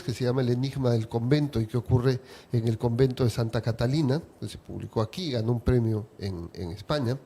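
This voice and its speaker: A man from Peru, a writer, answering interview questions in a reflective, unhurried way. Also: mid-range voice, dark tone, slight background noise. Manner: reflective, unhurried, with small restarts.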